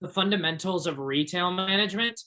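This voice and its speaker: A man's voice: sits high, fairly quick, sharp tone. A man playing a reluctant, dreamy teenage boy in a stage play, earnest and grumbling. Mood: defiant, sarcastic.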